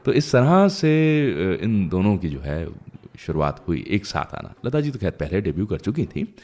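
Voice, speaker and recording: Male radio host, deep and expressive, conversational storyteller for a music show, leans on dramatic pauses.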